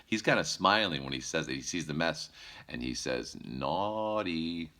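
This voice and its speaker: Deep voiced guy doing kids storytime, super animated and bright, chats to the kids. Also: a little fast. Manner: playful, addresses young listeners directly. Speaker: news anchor reading a children's story. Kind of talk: animated storytime read-aloud with asides to children.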